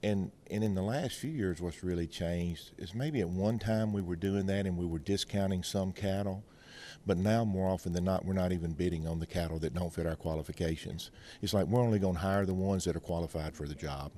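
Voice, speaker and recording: Male interview guest, Mississippi drawl, low dark voice, folksy expert delivery, faint noise behind. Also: brisk. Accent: Mississippi Southern American. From Mississippi, United States. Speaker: veterinarian, technical consultant, interview guest. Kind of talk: explanatory interview talk with anecdotes and analogies.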